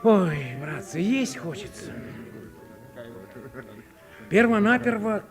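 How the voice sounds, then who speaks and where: A man's voice: high-pitched, lively intonation, takes it slowly, over music. An actor playing a fawning, timid minor clerk in a 1950s Russian radio play, fussy and coaxing with his companions. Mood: hungry, chatty. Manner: fussy, coaxing, hushed when cowed. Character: fawning, timid male minor clerk hosting hungry colleagues.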